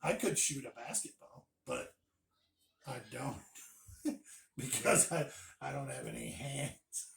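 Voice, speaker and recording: A man's voice: mid-range voice, animated, slow pace, creaky, pauses often, fairly bright tone, echoey room, recorded from a distance, heavily acted. A man voicing a goofy male felt puppet with no hands, co-hosting a casual vlog in a rambling, self-mocking way and breaking into song. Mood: joking. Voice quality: masculine voice. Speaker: co-host. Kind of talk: casual joking chat.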